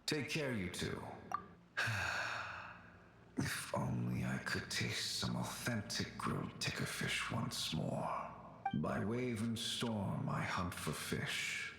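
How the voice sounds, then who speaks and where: The ghost of an ancient slain warrior, gentle and melancholy. His formal, wistful voice is wrapped in heavy ghostly echo, with faint noise, as in a fantasy video game. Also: low-pitched, big pitch swings, slow, creaky, heavily acted. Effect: heavy ghostly reverb. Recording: heavily echoing, faint background noise.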